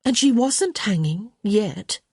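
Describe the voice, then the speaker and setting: A woman's voice: mid-range voice, animated, a little mellow, breathy edge, no echo, clean studio sound, pitched above the performer's own voice, exaggerated voice. A woman voicing a witty, cheeky young lady who answers back politely in an audiobook story. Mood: pert, unrepentant. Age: young adult. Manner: pert, quick to answer back.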